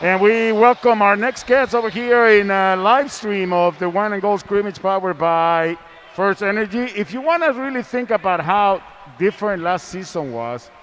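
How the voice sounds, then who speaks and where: A man's voice: high voice, moderate pace, a bit bright. A man hosting a basketball live stream in a playful, chatty, casual way, over some venue noise. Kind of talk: casual sports broadcast chat.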